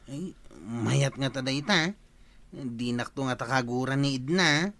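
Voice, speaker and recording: A man's voice: medium pitch, measured pace, fairly bright tone, no echo. An actor playing a sly, lovesick village man with a Filipino Ilocano accent, pushy and pleading, drawling and hesitating in an audio drama. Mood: agreeing.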